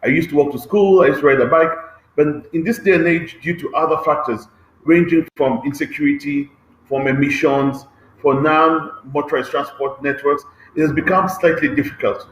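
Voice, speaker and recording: A man's voice: a little high, fairly even intonation, moderate pace, a little hiss or hum. Man explaining in a roomy echo. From Kenya. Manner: adds checking "okay?" tags, earnest explaining. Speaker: transport agency head on a panel. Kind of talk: online panel discussion.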